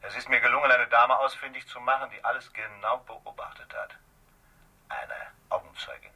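Sharp, urgent lawyer on phone. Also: male voice, medium pitch, sing-song, normal speed, creaky, slightly rough, full character voice, low-quality recording. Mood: urgent, triumphant. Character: urgent, secretive, triumphant male lawyer phoning. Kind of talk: German radio drama acting.

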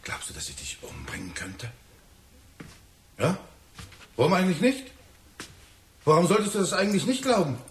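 A man's voice: high-pitched, huge pitch swings, normal speed, long pauses, a little hiss or hum. An actor playing a bitter, volatile older father and jealous lover in a German radio drama, brooding and accusing. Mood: agitated, bitter. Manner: brooding, accusing, prone to agitated outbursts.

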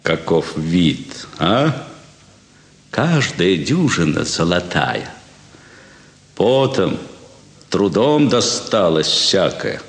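A sly card sharper from classic theatre comedy. The voice sits low and moves slowly, commanding and probing, with a lordly relish for each phrase. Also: male voice, heavily acted. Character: cunning male card sharper, a lordly gambler arriving at an inn.

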